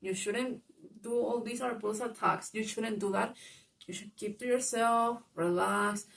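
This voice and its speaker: A woman with a Spanish-speaking accent, a native Spanish speaker, telling a personal story in a rambling monologue full of fillers. She describes her own voice as loud. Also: medium pitch, steady tone, unhurried, gravelly, distant mic. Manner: rambling, lots of fillers, grows loud when reenacting arguments. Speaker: vlogger.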